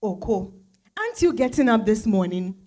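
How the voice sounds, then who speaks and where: An actress with a Ghanaian accent playing a weary, defiant wife in an emphatic dramatized stage reading. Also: female voice, medium pitch, very animated, moderate pace, mellow. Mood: exasperated. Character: weary, defiant career-minded wife in a strained marriage.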